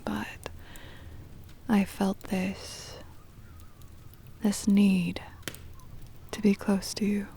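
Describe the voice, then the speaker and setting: A woman voicing a centuries-old possessive, doting vampire mistress in an intimate ASMR roleplay, whispery and breathy, with tender, formal old-fashioned phrasing. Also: medium pitch, dark tone, a little hiss or hum. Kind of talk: intimate ASMR roleplay, scripted dramatic monologue.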